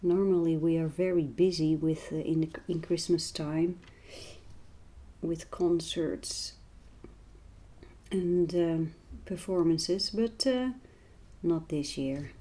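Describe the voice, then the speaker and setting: A hoarse woman talks through her painting in a slow, soft, mellow voice, sitting a little low in pitch. Now and then she sinks to a near whisper, and she keeps a calm teaching tone throughout. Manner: soft-spoken, unhurried, drifting into quiet near-whispers. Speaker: art tutorial host and voice teacher. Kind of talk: calm painting tutorial narration.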